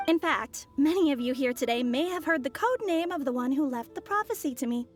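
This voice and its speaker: A high, composed voice from a mysterious traveler from abroad in a video game. She speaks smoothly and with a teasing calm, over faint game music. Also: fairly quick. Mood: sly.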